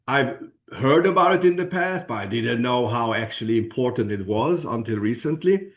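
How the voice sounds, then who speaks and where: Halting Swedish-accented man presenting. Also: mid-range voice, expressive. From Sweden. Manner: halting, searching for words, with frequent throat clearing. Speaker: presenter at a business opportunity webinar. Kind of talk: informal promotional presentation.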